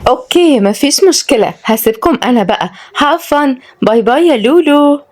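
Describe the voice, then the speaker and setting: A woman's voice: high-pitched, lively intonation, a little fast, pitched above the performer's own voice. A woman voicing a gushing, flirtatious Egyptian lady in her fifties, coquettish and familiar, in a story. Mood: flirty.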